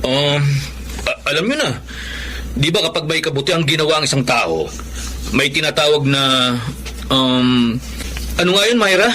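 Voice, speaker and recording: A Filipino actor playing a sneering, opportunistic young son in a Tagalog radio drama, nosy and mocking. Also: male voice, a little high, animated, normal speed, pauses often, bright, forceful tone, a little hiss or hum. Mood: angling, hesitant.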